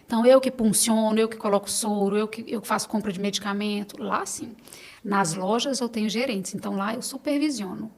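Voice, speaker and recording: A woman's voice: medium pitch, brisk, vocal fry, darkish tone. A Brazilian woman, a podcast guest, talking casually and personally, repeating phrases for emphasis. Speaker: podcast guest, business owner.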